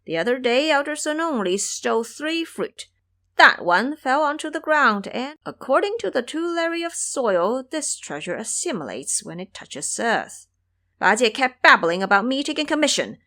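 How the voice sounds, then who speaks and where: Brash male monkey immortal played by a woman, high-pitched, bossy and boastful, for fantasy audio drama. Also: sing-song, normal speed, sharp tone, heavily acted. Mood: cocky.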